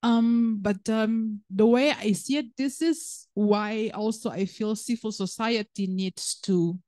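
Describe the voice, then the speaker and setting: A woman's voice: a little high, slow, mellow, dry recording. Indonesian woman on a book panel, earnest, lots of um and like, dry close sound. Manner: earnest, thinks aloud with fillers. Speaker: author on a panel. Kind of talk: panel discussion answer.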